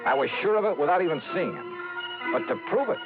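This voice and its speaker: An American actor playing a brash, shrewd insurance investigator in 1950s radio detective style, with a wry, punchy, slangy delivery. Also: male voice, high voice, big pitch swings, fast talker. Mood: wry, determined. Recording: tinny old radio recording, music behind.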